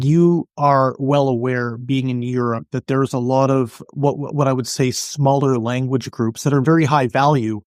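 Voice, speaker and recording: A man giving explanatory interview answers as an expert podcast guest, articulate, measured and businesslike. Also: medium pitch, darkish tone, no echo, studio quality. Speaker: podcast guest, industry analyst.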